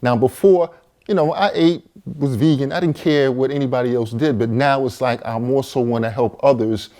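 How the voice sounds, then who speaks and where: A man's voice: mid-range voice, normal speed, mellow. A man in his forties, a vegan chef and co-author, telling his own story to the camera in a casual, conversational way with fillers and repeated words. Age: 40s. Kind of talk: personal testimony to camera.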